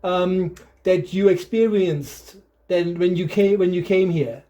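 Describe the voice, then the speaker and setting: A man's voice: sits high, takes it slowly, warm tone. A middle-aged man with a German accent, hosting a casual interview chat and talking in a hesitant way with many ums and restarts. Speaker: podcast host.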